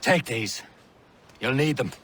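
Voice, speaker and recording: An actor playing a hearty, plain-spoken sailor and steady comrade in a video game, with emphatic delivery. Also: male voice, mid-range voice, slow, background noise, natural voice. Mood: urgent, commanding. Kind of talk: video game cutscene acting. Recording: clear music behind dialogue.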